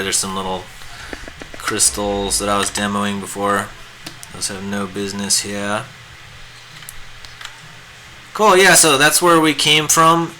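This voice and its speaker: A hoarse, raspy man chatting casually and rambling with lots of fillers while he draws on a live stream. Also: mid-range voice, sharp tone. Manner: laid-back, rambling, many fillers. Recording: music playing behind the voice. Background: guitar music.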